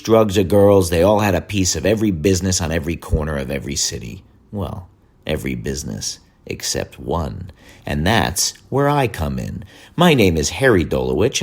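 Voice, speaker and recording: A brash male hustler narrates his own rise for an audio drama promo. His voice is deep and his delivery is showy and dramatic. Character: brash New York hustler man telling his rise. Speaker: narrator of a podcast trailer.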